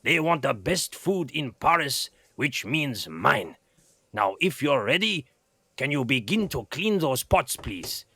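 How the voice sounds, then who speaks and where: A man playing a bossy, self-important head chef in a children's audio drama, with a husky, gravelly voice and brusque manner. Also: mid-range voice, big pitch swings, takes it slowly, pushed and bright, dry recording, full character voice. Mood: boastful. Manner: pompous, brusque.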